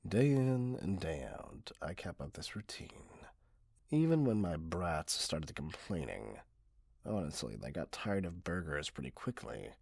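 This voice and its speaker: A man voicing an entitled, middle-aged, obsessive customer in an ASMR roleplay, husky, condescending and possessive, close to the microphone. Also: low-pitched, sing-song, measured pace, darkish tone, no echo, heavily acted. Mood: smug, doting. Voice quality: husky, often breathy. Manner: condescending, self-righteous, cloyingly possessive. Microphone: close, dry microphone.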